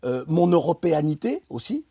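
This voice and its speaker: A middle-aged man speaks with impassioned emphasis, building his argument and then trailing off into hesitant restarts. His voice sits fairly high but sounds soft and warm, with a light husk. It is recorded close and dry in a studio. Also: big pitch swings, breathy edge, dry recording. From France. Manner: emphatic, many pauses and restarts. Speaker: interview guest. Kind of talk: impassioned political interview talk.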